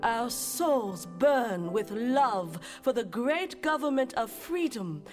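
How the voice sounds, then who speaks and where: An actress playing an earnest 19th-century petitioner for freedom in a theatrical reading, speaking in measured, declamatory phrases with weighty pauses. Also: female voice, a little high, animated, music in the background. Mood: solemn, fervent. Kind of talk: theatrical dramatic reading of historical documents.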